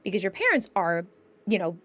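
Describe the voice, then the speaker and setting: A woman's voice: medium pitch, very animated, fairly quick, pushed and bright. A woman hosting a conversational discussion, explaining things in an earnest, emphatic way. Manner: earnest, emphatic, explanatory, voices imagined quotes. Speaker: podcast host and mental health professional. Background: background music.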